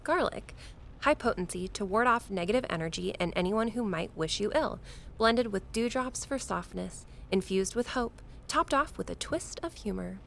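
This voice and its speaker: A woman's voice: medium pitch, animated, normal speed, creaky, a little hiss or hum. A woman playing a wry, witchy shopkeeper in an audio drama, with playful dry humor and faint ambience behind her. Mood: salesy, wry. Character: wry, witchy middle-aged woman shopkeeper selling esoteric curios.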